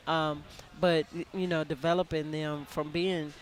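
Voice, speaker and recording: A woman basketball coach in a live radio interview, soft-spoken and earnest, often restarting her sentences. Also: low voice, level tone, a little slow.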